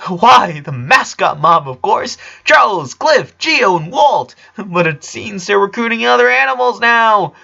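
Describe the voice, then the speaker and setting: A man's voice: high voice, big pitch swings, measured pace, gravelly, few pauses, bright, forceful tone, dull audio, voice pushed up, heavily acted. A man voicing a kindly, playful eleven-year-old big brother in a story, coaxing and dramatic. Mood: mock dramatic.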